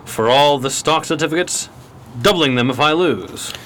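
An actor playing a suave, dapper 1920s gentleman gambler, polished and courteous, in a radio crime drama. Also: fairly high pitch, moderate pace, slight background noise, natural voice. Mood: cocky.

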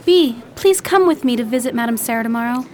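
Radio ingenue, woman actor: awestruck, pleading, fairly high voice, lively swooping intonation. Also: fast talker, slight background noise, lightly acted. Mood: eager, pleading. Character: eager, awestruck young lady enthralled by her friend. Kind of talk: radio drama acting.

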